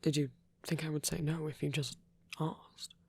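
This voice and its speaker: Breathy, whispery gentle vampire priest, acted for ASMR. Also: mid-range voice, little pitch movement. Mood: touched, reassuring. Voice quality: soft, breathy, often whispering. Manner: hesitant, tender, halting pauses. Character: gentle, protective soft-spoken male vampire priest. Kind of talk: intimate ASMR roleplay monologue.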